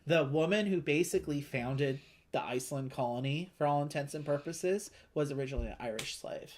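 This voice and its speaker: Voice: mid-range voice, fairly quick, a little mellow. A casual, talkative game co-host with a middle-register voice. They explain things readily and talk through options in an easy conversational style, as if across a table from a friend. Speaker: board game playthrough co-host.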